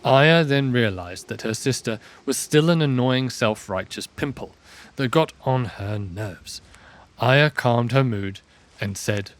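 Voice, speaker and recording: A man narrating a scripted fantasy audio drama in a measured storytelling manner. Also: medium pitch, expressive.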